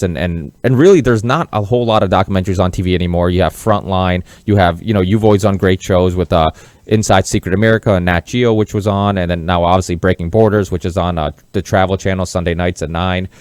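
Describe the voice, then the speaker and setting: A man with a fairly deep voice hosts a conversational interview podcast. He talks earnestly and tends to ramble, and the sound is dry, free of room reflections. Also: steady tone, quick pace, a little mellow, no echo. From Massachusetts, born in Portugal. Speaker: podcast host.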